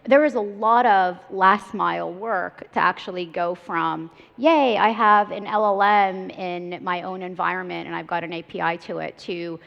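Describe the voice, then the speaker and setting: A woman's voice: on the low side, fairly even intonation, moderate pace, creaky, few pauses. A woman in her thirties on a conference panel, talking fluently and casually with many "like" and "you know" fillers. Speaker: startup CEO panelist. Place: large conference stage. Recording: reverberant hall, dull lo-fi sound.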